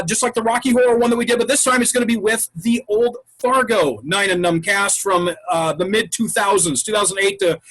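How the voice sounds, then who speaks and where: Husky-voiced chatty man on a video call. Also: high-pitched, a bit bright, low-quality recording. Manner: chatty storyteller, wraps up the show. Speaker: improv troupe host. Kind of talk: casual chat. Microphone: video call mic.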